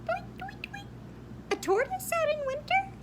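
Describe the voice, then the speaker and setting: A woman's voice: very high voice, sing-song, a little slow, a little hiss or hum, pitched above the performer's own voice, heavily acted. A woman voicing a little bird that cheeps and scoffs at a tortoise. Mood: scornful. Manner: chirpy, scornful. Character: tiny chirping scornful bird.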